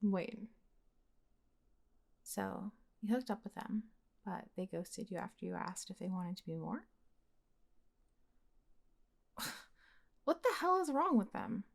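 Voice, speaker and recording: Casting: young woman, voice actress playing a blunt heartbreaker best friend, mid-pitched, casual and expressive, intimate audio roleplay. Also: measured pace, creaky, pauses often. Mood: indignant, fond. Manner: casual, expressive, sighing, sweary. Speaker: voice actor in ASMR roleplay. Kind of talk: intimate conversational ASMR roleplay acting.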